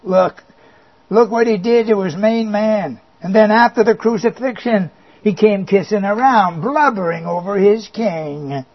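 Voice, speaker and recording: A man's voice: sits high, a little slow. A man voicing a boastful, mocking male devil who coaxes and sneers, with little chuckles. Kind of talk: comic monologue performance. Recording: dull lo-fi recording.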